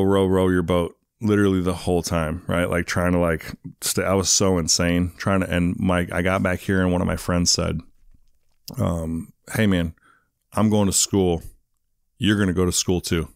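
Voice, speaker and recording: A man with a very deep voice tells his story in a loose, rambling way, padding his sentences with fillers and asides. The sound is dry and close, with no room around it. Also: fairly even intonation, unhurried, creaky, clean tone, dry recording. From England. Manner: rambling, many fillers, "you know what I mean". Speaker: guest. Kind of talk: casual storytelling interview.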